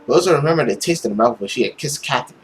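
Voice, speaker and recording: A man's voice: mid-range voice, lively intonation, very fast, faint music behind. A young man reading a visual novel aloud as a let's player, in dramatic narration.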